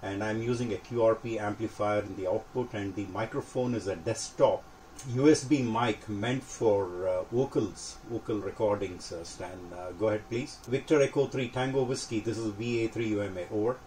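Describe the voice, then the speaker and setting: An older man with a low-pitched voice talks through technical matters in an informal, matter-of-fact way. His delivery is measured and explanatory. The recording is made indoors in a home workshop, with faint noise behind him. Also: darkish tone. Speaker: amateur radio hobbyist presenting.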